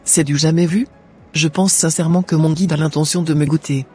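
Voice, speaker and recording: An actor voicing a young woman in a church cartoon, husky, mellow, earnest, with soft music behind. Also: sits low, steady tone, fairly quick. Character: young woman named Nadège, troubled churchgoer.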